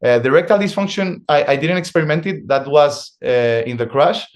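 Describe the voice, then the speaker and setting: A young adult man from Spain with a Spanish accent, a guest in an interview, speaking English as a second language and talking haltingly, with frequent restarts as he searches for words. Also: a little high. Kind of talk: conversational interview.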